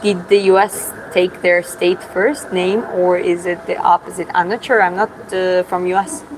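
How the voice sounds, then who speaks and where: A woman's voice: on the low side, little pitch movement, normal speed, noisy background. A young woman from Georgia with a Georgian accent, chatting on a livestream, answering comments with many fillers and restarts. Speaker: livestream host. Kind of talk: casual livestream chat reading comments.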